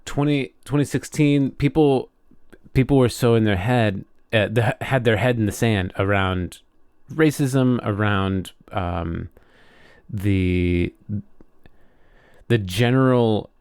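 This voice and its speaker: A man's voice: lowish voice, slow, a little mellow, clear, resonant tone, no echo, studio quality. An American man co-hosting a podcast in a casual chat, speaking frankly with the odd swear word. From United States. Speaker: podcast co-host.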